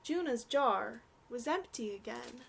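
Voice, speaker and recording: A young adult woman reading a storybook aloud for children in an expressive, gentle way. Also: fairly high pitch, measured pace, vocal fry, slight background noise.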